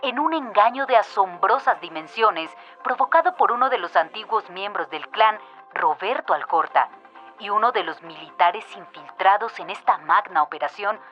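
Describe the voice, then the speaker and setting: A female radio drama news announcer with lively, swinging intonation. Her delivery is formal and emphatic, heard through dulled radio sound over music. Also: medium pitch, moderate pace, highs cut off. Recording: band-limited radio sound with music behind.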